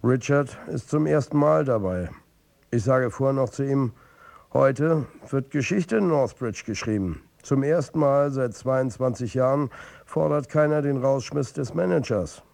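A man's voice: medium pitch, gravelly, a little mellow. An actor playing a troubled football coach and family man, narrating his own story in a confiding way in a radio drama. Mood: wry. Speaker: narrator and lead character.

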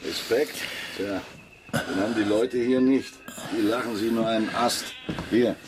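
A man acting a deep-voiced police investigator in a radio play. He sounds wry and matter-of-fact, with faint outdoor noise behind him. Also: steady tone, unhurried, natural voice. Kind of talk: naturalistic radio drama dialogue.

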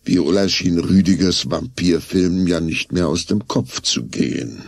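A man's voice: sits low, measured pace, creaky, dry recording, clean studio sound. A man narrating a scripted German children's radio play as a storyteller, with dramatic, suspenseful delivery.

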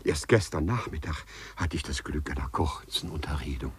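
An actor playing a courtly, lovestruck gentleman burglar in a 1950s German radio play, speaking with florid, formal politeness and a husky voice. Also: rumbling low, normal speed, heavily acted. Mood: bashful, confiding. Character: courtly, infatuated male gentleman burglar who walks through walls.

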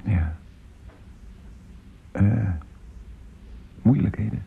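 An actor playing a weary, absent-minded old father in a radio drama, murmuring and repeating himself haltingly. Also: lowish voice, gravelly, exaggerated voice. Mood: dazed, hesitant. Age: older. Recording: muffled, noisy old radio play recording.

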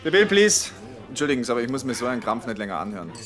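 An actor playing an irritable German engineer who brushes off criticism at a dinner. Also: male voice, mid-range voice, sing-song, racing, fairly bright tone, faint music behind. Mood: irritated. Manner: dismissive, brusque.

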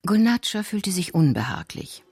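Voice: mid-range voice, very animated, moderate pace, darkish tone, slightly rough. A narrator reading scripted literary prose for a radio crime drama.

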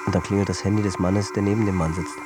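A low male voice of an actor plays a jet-set manager losing his grip. He delivers a tense, circling radio-play monologue with music underneath. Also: fairly even intonation, quick pace, close to an everyday voice. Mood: tired, absorbed. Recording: music behind the voice.

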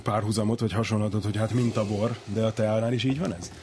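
A man with a husky voice hosting a radio show in a conversational interviewing style. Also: on the low side, fairly even intonation, darkish tone, slight background noise.

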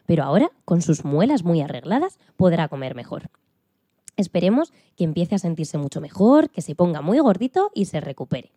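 Very mellow, dark-voiced woman radio presenter. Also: on the low side, very animated, normal speed, no room sound. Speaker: radio show co-host. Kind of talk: informal storytelling narration on radio.

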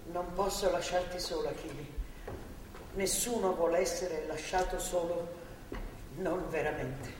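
A woman's voice: on the low side, a little slow, natural voice. An actress playing a devout, stern, grandmotherly older woman in a theatrical radio drama, with an earnest, moralizing manner. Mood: earnest, reproving. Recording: distant, echoey hall, clearly noisy.

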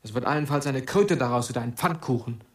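A man's voice: mid-range voice, fast, echoey room, natural voice. An actor playing a forsaken, restless young man in a radio drama, brooding aloud in an intense, declamatory monologue. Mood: troubled, agitated.

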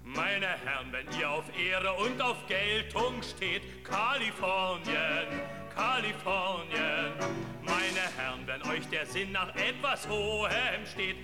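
An actor playing a rousing, cynical gold-rush balladeer, half singing and half declaiming over music in a reverberant space. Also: male voice, high voice, expressive, slow pace. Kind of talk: theatrical sung ballad with declaimed verses. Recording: long reverberant hall sound. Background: loud musical accompaniment.

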